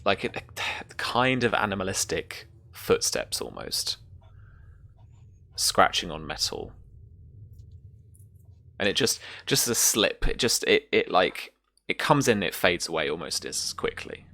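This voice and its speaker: A game master improvising narration and rulings for a tabletop roleplaying game, with a halting delivery. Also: deep voice, lively intonation, sharp tone.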